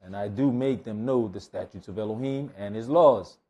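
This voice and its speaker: A man serving as scripture reader at a Bible study, measured and plain in delivery. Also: lowish voice, warm tone, slightly rough, dull audio. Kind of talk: reading scripture aloud, brief comments.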